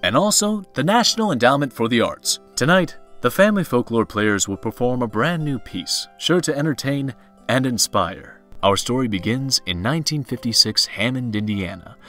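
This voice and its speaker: A man serves as announcer in a vintage radio show style. His voice is bright and forceful at a medium pitch, with showy presentation. Light music plays behind him. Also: lively intonation, moderate pace.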